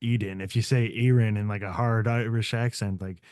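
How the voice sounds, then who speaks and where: A man's voice: fairly low pitch, steady tone, normal speed, darkish tone, clean tone, dry recording, studio quality. A man hosting a casual podcast, laid-back and slangy with short reactions.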